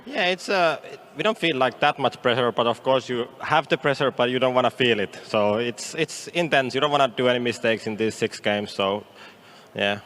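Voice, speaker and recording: A young man with a Finnish accent, a pro player giving halting post-match interview answers full of fillers. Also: mid-range voice, fairly bright tone. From Finland.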